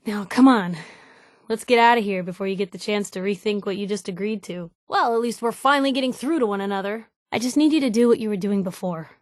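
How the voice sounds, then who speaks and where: A woman's voice: medium pitch, fast, no room sound, studio quality, close to an everyday voice. An actress with an American accent playing a feisty, nosy young woman reporter as a game companion, wry, snappy, informal and emotionally direct. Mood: warm, grateful. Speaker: video game companion character. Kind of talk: acted game dialogue.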